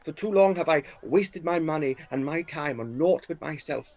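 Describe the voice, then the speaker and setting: Man playing a theatrical, high-pitched older Victorian gentleman. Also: lively intonation, normal speed, no echo. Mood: jovial, generous. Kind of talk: theatrical radio play acting.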